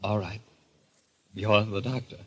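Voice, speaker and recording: An actor playing a scheming, smooth-talking husband in an old-time radio mystery, coaxing and insistent in a theatrical way. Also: male voice, on the low side, steady tone, a little slow, muffled recording. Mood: uneasy. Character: scheming, smooth-talking husband secretly driving his wife mad. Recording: noisy vintage radio recording.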